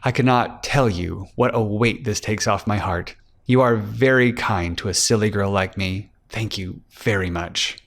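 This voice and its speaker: A man's voice: on the low side, a little slow, a bit bright. A man voicing a fragile, polite young woman, a grateful client in a crime audiobook, with a demure manner.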